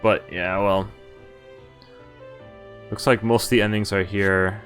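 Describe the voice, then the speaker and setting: Slow-talking man streaming games. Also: fairly low pitch, fairly even intonation, fairly bright tone, soft background music. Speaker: game streamer. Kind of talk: casual livestream commentary.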